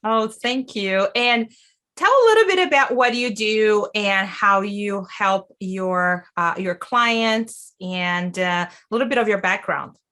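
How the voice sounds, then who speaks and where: A woman hosting an interview, her voice bright and forward. She talks eagerly and loosely, restarting sentences and stacking questions as she goes. Her enthusiasm carries every line. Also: mid-range voice, slow pace. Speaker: podcast host. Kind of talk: casual interview.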